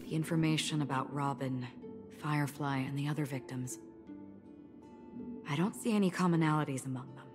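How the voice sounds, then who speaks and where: A woman's voice: low-pitched, a little fast, long pauses, natural voice. An actress playing a cool, melancholy female wanderer in a video game, pensive and reserved. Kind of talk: voiced video game dialogue. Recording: reverberant game audio with music.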